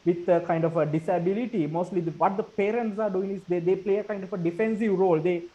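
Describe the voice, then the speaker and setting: A man's voice: sits high, fast. A man moderating a webinar panel, thanking speakers and introducing the next one in a polite, formal way, with fillers. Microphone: video call. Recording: dull lo-fi video call audio.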